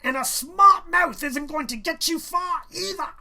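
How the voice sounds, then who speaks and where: A man's voice: extremely high pitch, unhurried, creaky, sharp tone, voice pushed up, heavily acted. A man voicing a stern, vain male schoolteacher in a storybook reading, speaking in a pompous, condescending and emphatic way. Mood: angry, scornful.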